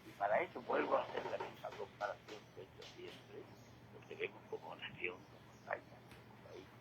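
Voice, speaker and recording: An older man giving a reflective radio monologue, halting and repeating words, with rhetorical questions. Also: fairly low pitch, lively intonation. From Spain. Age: 60s or older. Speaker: retired regular contributor giving commentary. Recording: clearly noisy radio feed.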